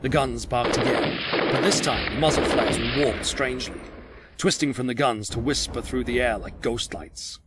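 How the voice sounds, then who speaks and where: A man's voice: mid-range voice, moderate pace, very noisy. A man reading dramatic scripted action narration as the narrator of an audio drama. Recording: loud music and gunfire behind.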